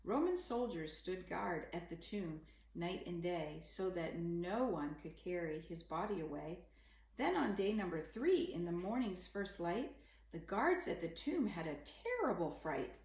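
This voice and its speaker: Female storyteller for young kids, expressive rhyming read-aloud, unhurried, creaky edge, roomy sound. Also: fairly low pitch. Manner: simple, dramatic storytelling aimed at a young child. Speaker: children's story reader.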